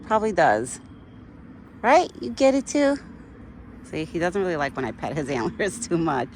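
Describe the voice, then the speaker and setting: A middle-aged woman chatting casually outdoors to her viewers. She keeps breaking into high sing-song baby talk for an animal. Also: sing-song, moderate pace, a little mellow, slight background noise. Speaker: vlogger talking to a wild deer. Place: outdoors in a yard.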